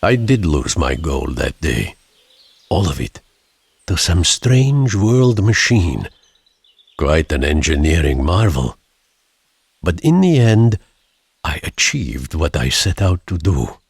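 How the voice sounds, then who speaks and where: An actor playing a weary, pompous older adventurer, narrating his tale in a dramatic, florid, deliberate way for a game cutscene. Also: very deep voice, very animated, creaky, breathy edge, no echo, exaggerated voice. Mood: wistful.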